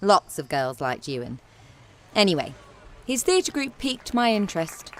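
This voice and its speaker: A woman's voice: mid-range voice, very animated, moderate pace, lightly acted. An actress playing a frank, self-assured young theatre woman with a dry, matter-of-fact manner in a radio play. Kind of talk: radio play acting and narration.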